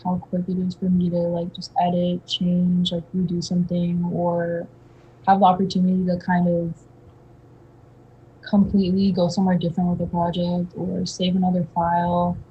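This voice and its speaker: A young woman, an art student, speaking casually and relaxed, with fillers. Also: on the low side, moderate pace. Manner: breathy, relaxed, filler-heavy. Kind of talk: casual conversation. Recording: dull lo-fi recording.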